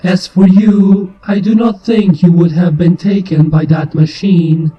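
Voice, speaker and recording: A man's voice: sits high, steady tone, unhurried. Male reader as stern insectoid analyzer, clinical and formal, dull lo-fi sound over music. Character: cold, formal, loud analyzer creature of a hive.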